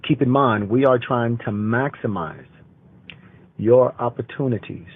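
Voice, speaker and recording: A man leaving a deliberate, formal voicemail with instructions, recorded over a phone line. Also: medium pitch, slightly rough. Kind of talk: voicemail message giving instructions. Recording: tinny, noisy phone voicemail.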